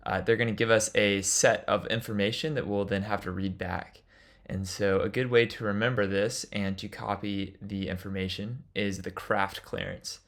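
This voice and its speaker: A man's voice: fairly low pitch, fairly even intonation, normal speed, fairly bright tone. A man giving an instructional lecture as a flight instructor, explaining things in an informal way.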